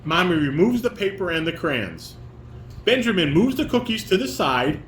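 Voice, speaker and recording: A man's voice: high voice, very animated, normal speed, a bit bright. A middle-aged man casually coaching a read-through, reading stage directions aloud and correcting step by step in a roomy recording. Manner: instructive, demonstrates and corrects step by step. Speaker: father directing a family table read. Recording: roomy, echoey home recording with background noise.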